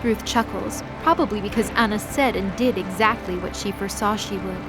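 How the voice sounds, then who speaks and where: A woman's voice: mid-range voice, brisk, natural voice. A woman delivering dramatic scripted narration as the narrator of an audio drama. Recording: loud dramatic music behind the voice.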